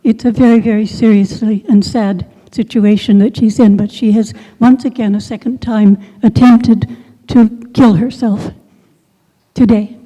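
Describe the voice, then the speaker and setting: A woman in her seventies speaking haltingly from the audience at a community meeting, searching for words, on a handheld microphone. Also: on the high side, steady tone, mellow. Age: 70s. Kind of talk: halting spontaneous public remarks. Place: large synagogue hall, indoors. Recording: reverberant hall PA, muffled top end.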